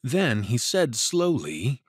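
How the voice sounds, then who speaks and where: A man with a husky voice narrating a scripted dramatic story. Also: medium pitch, big pitch swings, slow, dry recording, clean studio sound.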